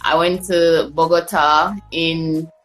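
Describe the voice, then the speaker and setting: Low-voiced woman, chatty. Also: little pitch movement, slow, fairly bright tone, soft background music, rough recording. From Jamaica. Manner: chatty, many fillers and restarts. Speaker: podcast guest. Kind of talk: casual storytelling chat.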